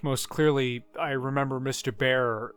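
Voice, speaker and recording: Man, medium pitch, scripted horror narration, dramatic pauses, hushed phrase endings, background music. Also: steady tone.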